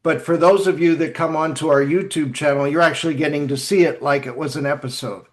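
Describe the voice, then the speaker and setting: A man hosting a casual group podcast chat, talking in a halting way and often repeating his words. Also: fairly high pitch, fairly even intonation. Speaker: podcast host.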